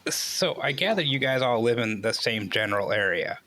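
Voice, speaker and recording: A man's voice: medium pitch, lively intonation, normal speed, bright, forceful tone. A man hosting a casual group interview. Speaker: interview host. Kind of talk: casual moderating of a group chat.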